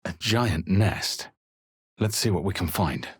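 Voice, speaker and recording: A brooding fantasy rpg hero, low n husky, measured dramatic delivery, very dry studio sound. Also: male voice, dry recording, full character voice. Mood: curious, wondering. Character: male fantasy adventurer hero, grave and introspective. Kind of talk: scripted video game narration and character lines.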